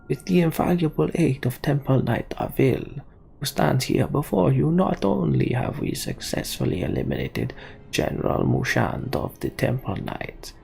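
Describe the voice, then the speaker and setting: A man's voice: mid-range voice, gravelly, darkish tone, exaggerated voice. A man voicing a scheming orc officer in a game, speaking with oily deference. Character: servile, scheming orc officer reporting to his lord.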